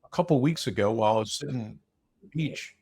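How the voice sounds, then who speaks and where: A man's voice: mid-range voice, fast, darkish tone, breathy edge. A man in a casual unscripted discussion, opinionated and blunt, rambling through broken-off sentences.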